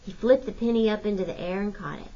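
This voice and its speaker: A woman reading a folksy first-person novel aloud as expressive storybook narration, like a teacher. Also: mid-range voice, quick pace, lo-fi sound.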